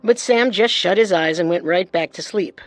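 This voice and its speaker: A woman's voice: lowish voice, normal speed, no echo, faint music behind. Woman narrating scripted true crime. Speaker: co-host and narrator.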